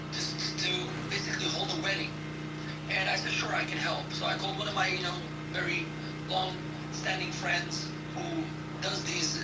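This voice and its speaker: A man who lectures in neuroscience, speaking haltingly with lots of fillers in a casual call-in discussion, on distant, echoey, noisy audio. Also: high-pitched. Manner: halting, heavy with fillers and restarts. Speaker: university lecturer in cognitive neuroscience, guest speaker.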